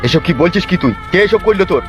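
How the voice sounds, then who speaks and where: A man's voice: sits high, lively intonation, rapid-fire. A man voicing a wounded, scolding village father in a cartoon, with a lamenting manner. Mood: shocked. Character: indignant, wounded village father, foul-mouthed and shame-stricken. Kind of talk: cartoon comedy-drama voice acting. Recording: dull audio with music behind.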